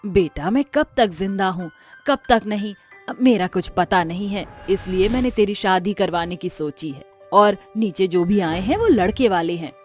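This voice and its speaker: A woman voicing a gentle, worried, humble ageing mother in a moral-story cartoon, with a plaintive manner. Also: medium pitch, moderate pace, faint music behind, close to an everyday voice. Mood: worried, tender.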